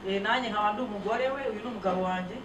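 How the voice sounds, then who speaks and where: A woman interpreting a sermon, rendering each phrase quickly and fluently. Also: mid-range voice, dull audio, noisy background. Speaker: interpreter, pastor's wife. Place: church hall. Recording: echoey church hall, mic off at a distance.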